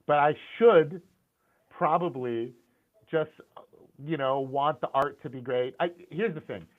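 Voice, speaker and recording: A man's voice: fairly high pitch, measured pace. Candid middle-aged man on a tinny call. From Syrian, grew up in the US. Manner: candid, animated, frequent restarts and repeated words. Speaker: guest, concept art and animation director. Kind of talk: casual interview conversation. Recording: tinny phone-like call audio.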